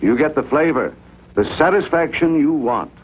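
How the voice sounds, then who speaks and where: A man's voice: a little high, expressive, normal speed, husky. A man reading a scripted 1950s television advertisement as a commercial announcer. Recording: tinny, faintly humming 1950s commercial audio.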